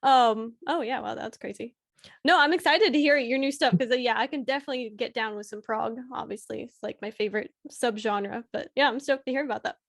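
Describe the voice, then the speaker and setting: A woman's voice: a little high, a little fast, creaky, dry recording, studio quality. A woman hosting a casual interview, encouraging her guest with frequent short agreements. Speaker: host.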